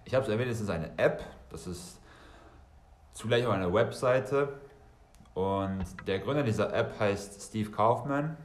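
A man's voice: fairly low pitch, expressive, measured pace, reverberant. A bilingual German and Serbian man hosting a solo podcast, talking conversationally and directly to listeners, often rephrasing as he goes. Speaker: podcast host.

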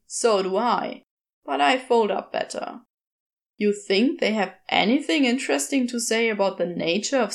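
Storybook velociraptor demon, played by a woman, male, grumbling, sardonic, exasperated, rambling. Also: on the high side. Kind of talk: storybook character voice in audio fiction.

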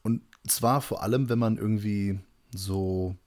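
A man's voice: lowish voice, a little slow, darkish tone. A man talking casually about films as a podcast co-host. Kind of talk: casual film chat.

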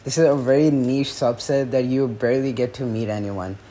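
A man's voice: medium pitch, fairly even intonation, moderate pace, mellow. A young man as a podcast guest in casual chat, talking hesitantly with restarts and "like" fillers. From born in the US, raised in India. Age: young adult.

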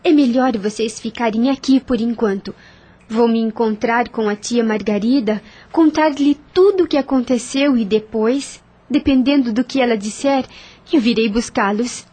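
An actress playing a brave, gentle young woman in a radio drama, earnest. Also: on the high side, moderate pace, lightly acted. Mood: earnest, reassuring.

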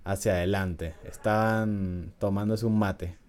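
Low, mellow-voiced man telling a story. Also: steady tone, measured pace, a little hiss or hum. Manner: chatty, laughs often, frequent "¿no?" tags. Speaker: podcast host. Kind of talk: casual storytelling podcast.

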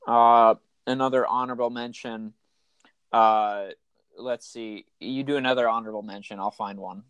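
A man with a raspy voice co-hosting a casual podcast, talking in an enthusiastic, joking, rambling way. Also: medium pitch, steady tone, moderate pace, a bit bright, no room sound. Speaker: podcast co-host. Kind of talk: casual chat.

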